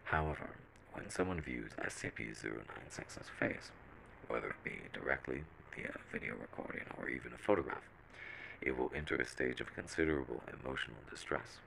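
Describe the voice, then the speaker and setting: An extremely low, creaky voice with a forceful edge reads out a classified horror file , acted by a man. The delivery is clinical, measured and deliberate, like a scripted audio log, with faint noise on the recording. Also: exaggerated voice, rough recording. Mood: clinical, grave. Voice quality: very deep, gravelly. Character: SCP Foundation document reader and shaken field soldier.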